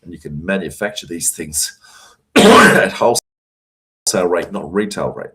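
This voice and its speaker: A man, hoarse and losing his voice, hosting a live question-and-answer session and giving emphatic advice with plenty of fillers. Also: low voice, lively intonation, moderate pace, sharp tone. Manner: emphatic, repeats words for stress, frequent fillers. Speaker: host answering audience questions.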